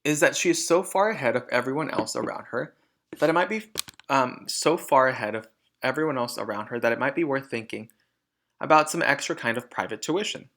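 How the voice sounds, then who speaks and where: A man voicing a polite, restrained woman schoolteacher in a storybook, speaking in a measured, earnest way. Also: medium pitch, a bit bright, close to an everyday voice. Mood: earnest, persuasive.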